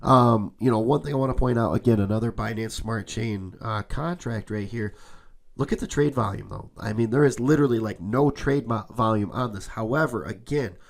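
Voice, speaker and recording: Man with a cold, slightly raspy. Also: fairly low pitch, normal speed. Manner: loose, repetitive, says "okay?" often. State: has a cold, a bit raspy. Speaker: crypto channel host. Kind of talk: casual screen-share commentary.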